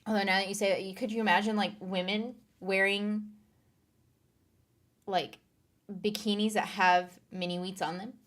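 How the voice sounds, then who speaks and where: A woman's voice: medium pitch, little pitch movement, normal speed, long pauses, a bit bright. A woman streamer chatting casually on a live stream, reading viewer comments aloud and laughing along.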